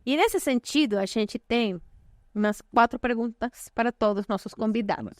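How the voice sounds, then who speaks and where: A woman's voice: a little high, moderate pace, no room sound, studio quality. Chatty Brazilian-Portuguese woman host. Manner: warm effusive thanks, frequent fillers. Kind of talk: casual interview chat.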